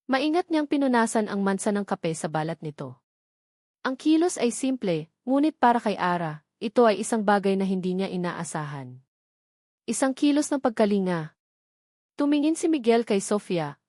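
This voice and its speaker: Woman, Filipino accent, audiobook narrator, dramatic storytelling, mid-pitched voice, dry recording. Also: a little mellow, no echo.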